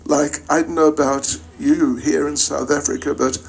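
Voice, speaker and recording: An older man with a New Zealand accent gives an informal spiritual lecture in a halting, reflective, conversational way, checking in with his listeners. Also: on the high side, level tone, creaky, breathy edge. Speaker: spiritual teacher giving a lecture. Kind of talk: informal religious lecture to an audience.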